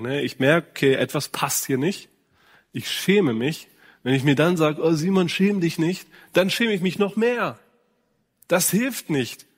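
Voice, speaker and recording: Male preacher, medium pitch, earnest sermon delivery straight to the listener, long hall reverb. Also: slightly rough. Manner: earnest direct address with rhetorical questions. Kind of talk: sermon to men.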